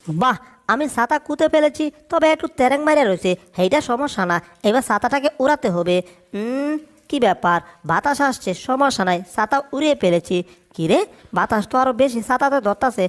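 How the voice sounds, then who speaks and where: A performer voicing an excitable, boastful male cartoon cat in a comedy dub, exclaiming and narrating his own antics. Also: high-pitched, fairly quick. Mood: proud, then alarmed.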